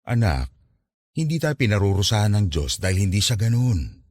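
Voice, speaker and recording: A man playing a gentle, devout, poor middle-aged father consoling his child in a Tagalog radio drama. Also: lowish voice, big pitch swings, normal speed, dry recording, studio quality.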